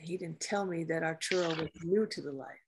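A woman acting a grieving, guarded female naval officer and caretaker at a screenplay table reading, with measured, halting delivery. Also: deep voice, a little hiss or hum, natural voice, rough recording.

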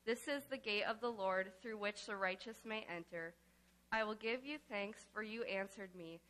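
A woman's voice: mid-range voice, normal speed, fairly bright tone, poor audio. A woman reading a psalm aloud as a scripture reader at a church service. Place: large church sanctuary.

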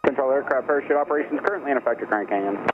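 A man's voice: mid-range voice, very fast, narrow, tinny audio. A man working as an air traffic tower controller, giving clipped instructions over an aviation radio.

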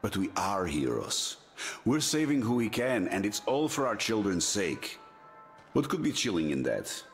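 A stern, proud military commander from a video game, confiding and authoritative. His very low voice swings expressively through its range while music plays softly behind him. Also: very animated. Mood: warm, proud. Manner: measured, authoritative. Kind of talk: video game dramatic acting.

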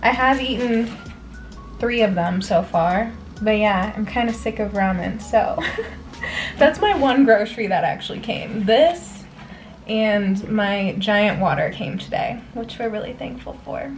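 A woman's voice: mid-range voice, a little slow. A young woman in her twenties chatting casually to camera from a small apartment room, laughing often as she rambles through her day. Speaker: vlogger. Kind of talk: casual vlog chat. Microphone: camera on a desk, later handheld camera. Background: background music.

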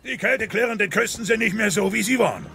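An actor playing a burly middle-aged Viking chief and father in an animated audio drama, loud and commanding. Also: high voice, fast talker, vocal fry, soft background music, exaggerated voice. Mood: booming, hearty.

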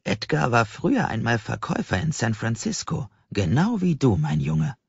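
Man voicing a solemn, husky old bookseller. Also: mid-range voice, wildly swooping pitch, normal speed, voice pushed up, full character voice. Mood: kindly. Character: elderly male bookseller, solemn and kindly mentor. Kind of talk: audiobook character voice. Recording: slightly dull, roomy audiobook recording.

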